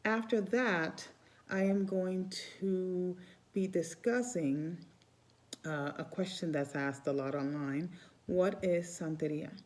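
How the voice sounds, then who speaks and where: Rambling woman with a mellow low voice. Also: slow pace. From Cuba. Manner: warm, rambling, restarts phrases often. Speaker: Santeria priestess. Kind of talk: casual spiritual vlog talk.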